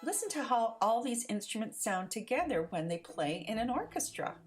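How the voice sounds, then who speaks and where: A caring, excitable man from a children's storybook, voiced by a woman reader. He exclaims readily and talks affectionately, with very animated pitch. Music plays clearly in the background. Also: moderate pace. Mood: affectionate, easygoing. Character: caring, excitable male stagehand who dotes on dog.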